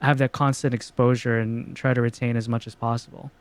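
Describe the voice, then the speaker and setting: A young man from the Philippines and the United States, co-hosting a podcast and chatting casually, halting, with restarts and laughs. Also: mid-range voice, little pitch movement, smooth, no echo. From Philippines, then the United States. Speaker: podcast co-host. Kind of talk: casual chat.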